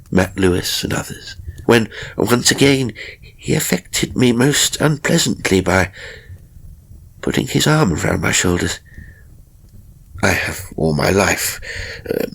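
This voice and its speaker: Actor as a low, gravelly, timid novelist. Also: male voice, a bit bright, full character voice. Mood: uneasy, then indignant, disturbed. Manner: measured, theatrical, hesitant pauses. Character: timid, anxious male novelist recounting a haunting. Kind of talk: dramatic first-person horror audiobook narration.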